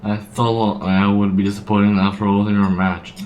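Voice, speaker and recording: A man's voice: lowish voice, level tone, normal speed, darkish tone, slight background noise. A man casually reacting to gameplay as a streamer, in slangy exclamations, with music behind him. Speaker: game streamer.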